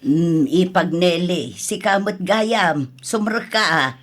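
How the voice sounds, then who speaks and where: An actress with an Ilocano accent playing a concerned sister-in-law in a radio drama, frank and sympathetic in a conversational way. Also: female voice, lowish voice, slow. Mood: casual.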